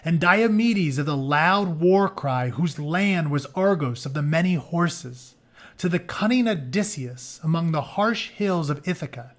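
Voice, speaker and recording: A man with a fairly high voice works as a teacher reading a book aloud. He moves between storytelling narration and casual, conversational asides that explain things for the listeners. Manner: informal, conversational, explains as he goes.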